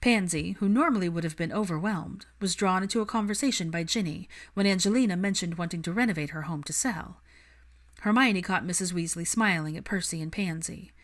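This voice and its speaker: A woman's voice: on the low side, fairly quick. A woman reading a story aloud as a narrator, in a scripted storytelling style. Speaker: audiobook-style narrator of fan fiction.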